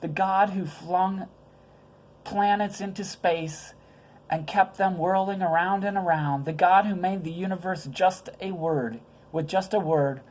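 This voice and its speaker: Woman acting male archangel, storybook, glad, reassuring, proclaiming, gentle messenger. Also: fairly low pitch, fairly even intonation, unhurried, rough recording.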